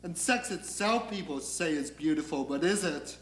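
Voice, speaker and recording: A man's voice: a little high, animated, moderate pace, fairly bright tone. A man acting a theatrical comic monologue as a neurotic, negative character, wry and confiding. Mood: wry, disdainful. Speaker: actor performing a monologue. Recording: echoey room.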